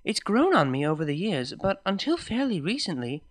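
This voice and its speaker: Lively, expressive male story reader. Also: sits high, moderate pace, no room sound. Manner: expressive, lilting storyteller delivery. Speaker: fanfiction reader. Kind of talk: first-person story reading.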